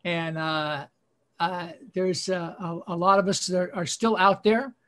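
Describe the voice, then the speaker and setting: A man's voice: sits high, fairly even intonation, fairly bright tone. Self-deprecating comedian in his sixties. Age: 60s. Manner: rambling, self-deprecating, halting with fillers. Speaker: stand-up comedian. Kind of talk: deadpan stand-up routine.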